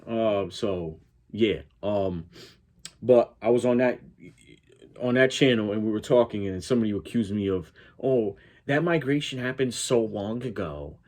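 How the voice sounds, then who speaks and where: Guy w a new york-ish connecticut accent, kinda low raspy voice, rambling opinions, trips over his words. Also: unhurried, a little mellow. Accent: southwestern Connecticut, close to New York. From Connecticut, United States. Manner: rambling, stammering, self-correcting, emphatic. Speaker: YouTube reaction host. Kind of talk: casual opinionated commentary to viewers.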